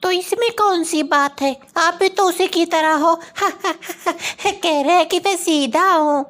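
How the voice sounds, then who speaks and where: A woman acts out a nagging cartoon wife and mother with an extremely high-pitched voice. She is brusque and scornful, scolding and brushing off whoever she talks to. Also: takes it slowly, fairly bright tone, exaggerated voice. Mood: mocking, scornful. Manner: scolding, dismissive. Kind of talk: comic cartoon dialogue.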